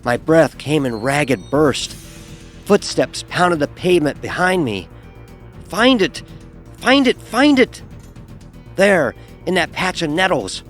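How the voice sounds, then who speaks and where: A man's voice: a little high, big pitch swings, takes it slowly, pauses often, a bit bright, full character voice. An actor playing a frightened, sarcastic young fraternity pledge who narrates his story in a wry, vivid, emotionally charged way. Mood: panicked. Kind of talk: first-person dramatic horror audio narration. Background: music.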